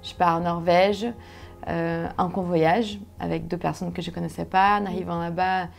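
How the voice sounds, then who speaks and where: A young woman from Brazil, a native Portuguese speaker with a Brazilian accent, telling her story conversationally and haltingly as she searches for words. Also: lowish voice, little pitch movement, gravelly, slight background noise. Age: young adult. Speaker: interview guest, solo sailor.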